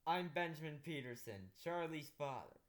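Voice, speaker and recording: A woman voicing a kindly middle-aged father, calm and plain. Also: low voice, moderate pace.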